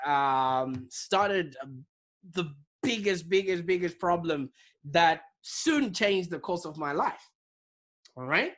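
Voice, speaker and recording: A man from Zimbabwe, an interview guest telling his story in a lively, animated way over a video call. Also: on the high side. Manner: animated, vivid storyteller with repetitions. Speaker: guest, digital marketing business owner.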